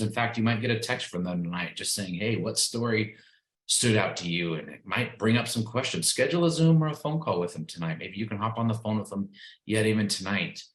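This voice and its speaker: A man giving an upbeat recruiting pitch on a video call, salesy and full of fillers. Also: roomy sound, rough recording.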